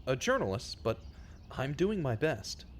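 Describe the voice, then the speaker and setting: A man's voice: mid-range voice, very animated, measured pace, a little hiss or hum. A man playing an earnest small-town journalist, narrating dramatically and confidingly in a vintage-style radio drama. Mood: subdued, sincere. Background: prominent instrumental music.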